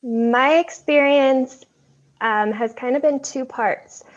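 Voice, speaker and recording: A woman, a native English speaker, talking in a relaxed, thoughtful way with frequent ums during an online language exchange. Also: sits high, slow. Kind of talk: casual conversation. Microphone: video call. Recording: dull lo-fi video call audio.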